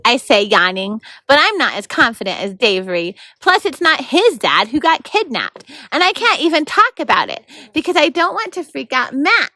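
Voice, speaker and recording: A woman's voice: high-pitched, sing-song, normal speed, dry recording. A woman reading a children's novel aloud as a volunteer storyteller. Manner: coaches child readers with quiet prompts.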